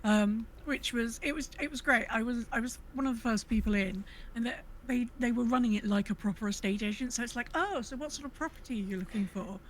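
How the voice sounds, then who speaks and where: A woman co-hosting a podcast in casual, halting talk, often rephrasing as she goes. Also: fairly high pitch, slight background noise, low-quality recording. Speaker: podcast co-host. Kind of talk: casual chat and informal news round-up.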